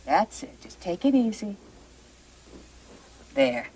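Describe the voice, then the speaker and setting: A woman's voice: mid-range voice, big pitch swings, takes it slowly, mellow, slight background noise, rough recording. A woman playing a centuries-old witch mother in a fairy tale drama, cooing and controlling in a theatrical way. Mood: coaxing.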